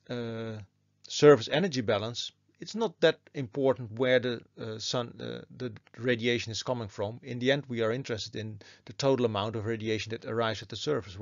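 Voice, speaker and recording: A man with a mid-pitched, creaky voice delivers an explanatory university lecture. He works through the material methodically, one step after another. The sound is dry and somewhat muffled, as in a recorded slide presentation. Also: no room sound.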